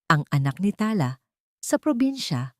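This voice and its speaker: A woman's voice: lowish voice, sing-song, measured pace, no room sound, studio quality. A woman narrator reading a scripted story in a dramatic storytelling style.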